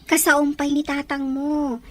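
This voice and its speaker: A woman's voice: high-pitched, flat delivery, unhurried, a bit bright, slight background noise. A woman playing a frank, questioning acquaintance who gives advice in an Ilocano radio drama, with an Ilocano accent.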